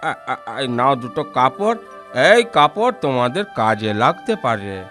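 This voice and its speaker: Bright, swooping village washerman voiced by a man. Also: medium pitch, sing-song, a little slow, faint music behind. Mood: friendly. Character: humble, warm-hearted village washerman. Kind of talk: children's cartoon fairy tale acting.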